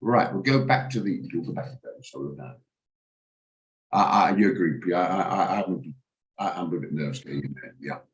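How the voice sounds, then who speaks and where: Hoarse low-voiced man, halting. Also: lively intonation, lo-fi sound. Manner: hesitant, stammering restarts, cautious. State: hoarse, recovering from flu. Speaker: trading room host. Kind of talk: live market commentary on a video call.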